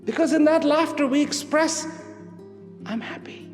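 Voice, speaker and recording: A man giving a reflective public talk, slow and emphatic, swinging from loud declarations to hushed, almost whispered lines. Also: very high voice. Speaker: speaker. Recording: long hall reverb with faint music.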